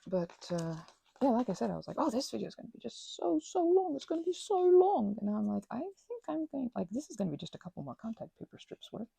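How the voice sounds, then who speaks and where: A woman chats quietly while she works on a craft, drifting from tangent to tangent and breaking off mid-sentence. Her voice is dark, mellow and heavily gravelly, caught close on a dry mic with craft clicks behind. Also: fairly high pitch, very animated, fast, no room sound. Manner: meandering, self-interrupting, soft whispers and mild exclamations. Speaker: ASMR creator. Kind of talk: soft rambling ASMR craft chat.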